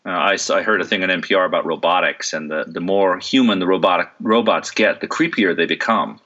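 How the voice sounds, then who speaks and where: Deep-voiced man, bright and forceful. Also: brisk, highs cut off. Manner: thoughtful, many fillers and restarts. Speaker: voice actor and stage actor, interview guest. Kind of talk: relaxed interview conversation.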